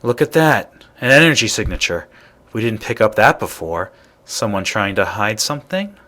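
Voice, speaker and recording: A man's voice: on the low side, very animated, moderate pace, sharp tone. A man voicing a probing, matter-of-fact space adventurer in a video game. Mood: curious, alert.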